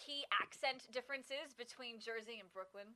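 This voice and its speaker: A woman co-hosting an interview, asking questions with quick, eager interjections. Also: a little high, sharp tone. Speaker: co-host. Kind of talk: casual interview chat.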